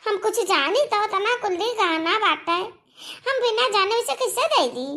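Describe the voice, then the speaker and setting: Woman acting young village wife, Awadhi accent, squeaky-high pitch, bright tone, argumentative, aggrieved, cartoon melodrama. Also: animated, measured pace, full character voice. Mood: indignant, pleading. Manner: pleading, argumentative, complaining.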